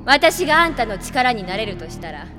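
A woman playing a feisty, headstrong young female mage in an audio drama, sharp-tongued and emotionally expressive. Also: a little high, brisk. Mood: bitter defiance. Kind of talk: Japanese anime audio drama acting. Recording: music behind the voice.

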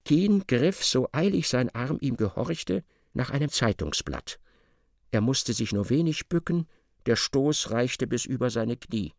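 A man's voice: mid-range voice, expressive, a little slow, vocal fry, no room sound, studio quality. A man narrating a literary radio drama in a scripted, storytelling style. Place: dry studio.

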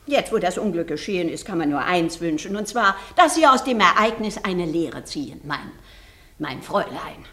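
A self-righteous bourgeois mother in a radio play, swinging animatedly through her pitch. She lectures with snide, pointed condescension and scolds behind polite phrasing. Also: lively intonation, moderate pace, bright, forceful tone. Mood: sanctimonious reproach. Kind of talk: German radio drama acting.

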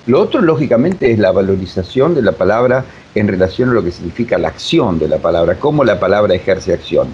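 A mature man with an Argentine accent explaining reflectively as an interview guest, with emphatic repetition and deliberate pauses. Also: lowish voice, lively intonation, a little mellow. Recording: dull lo-fi sound, faint noise.

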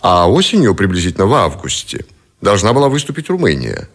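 An actor playing a captive middle-aged admiral who gives formal, measured, dignified testimony in a radio play. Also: male voice, low voice, huge pitch swings, exaggerated voice. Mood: formal, composed.

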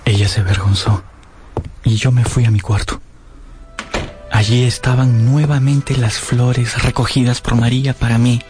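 A man's voice: mid-range voice, fairly even intonation, measured pace, music in the background, lightly acted. An actor playing a lovestruck young man who recalls his first love in an earnest, literary radio drama narration. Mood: wistful.

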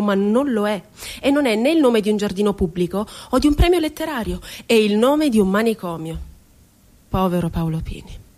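A wounded ironic poet woman, ex asylum inmate, highish voice, dramatic pauses, radio theatre monologue. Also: darkish tone. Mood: tender, sad irony. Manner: dramatic, deliberate phrasing with pauses. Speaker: stage actress.

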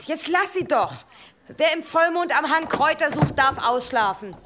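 A woman's voice: high voice, animated, normal speed, very noisy. An actress playing a middle-aged village wife and mother, chiding and protective, in a medieval radio play. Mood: exasperated, protective. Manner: chiding, placating.

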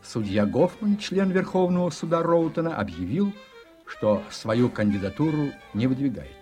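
A man's voice: a little high, lively intonation, normal speed, dark tone, soft background music. A man narrating a radio play in a scripted, dramatic storytelling style.

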